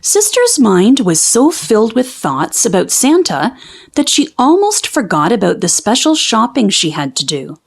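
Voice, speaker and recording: High, animated, unhurried woman storyteller. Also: gravelly, slightly rough. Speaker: children's book read-aloud narrator. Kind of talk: expressive storybook narration for young children.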